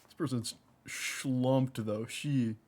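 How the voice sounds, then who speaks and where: A man's voice: medium pitch, fairly even intonation, slow, background noise. A young man giving casual live commentary as he streams a video game.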